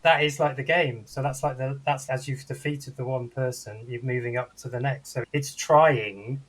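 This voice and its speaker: A man with a medium-pitched voice talks through a film as a podcast panelist. The delivery is casual and conversational. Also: steady tone, normal speed, a bit bright, poor audio. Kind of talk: casual chat, film review.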